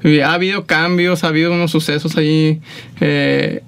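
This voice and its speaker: Excitable slangy young host. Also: male voice, a little high, moderate pace, vocal fry, smooth. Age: 20s. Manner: slangy, excitable, frequent exclamations. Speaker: podcast host. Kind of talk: casual interview chat.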